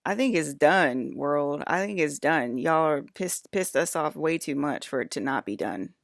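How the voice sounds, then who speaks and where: A woman's voice: sits low, animated, measured pace, creaky, no echo, clean studio sound. A woman in her fifties talking straight to her viewers in a rambling, confrontational monologue, full of fillers and swearing. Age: 50s. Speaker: video blogger.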